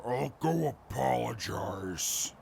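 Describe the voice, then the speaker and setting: A man playing a proud, stubborn super mutant in a post-apocalyptic audio drama, gravelly and pompous in his formal wording. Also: medium pitch, animated, slow pace, a little mellow, slight background noise, exaggerated voice. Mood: grudging, haughty.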